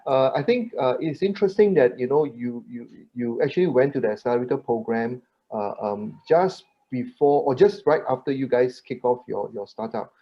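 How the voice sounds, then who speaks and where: A man hosting a panel webinar, speaking haltingly with many fillers, repeated words and tag questions. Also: medium pitch, expressive, breathy edge, highs cut off. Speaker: webinar moderator.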